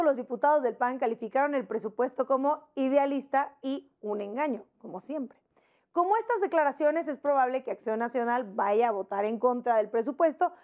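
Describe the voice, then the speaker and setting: A young Mexican woman with a Mexican Spanish accent presents scripted news in a TV studio, brisk with wry asides and rhetorical questions. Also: high voice, a little mellow. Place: large TV studio with video wall. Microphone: hidden lapel mic, full-body framing.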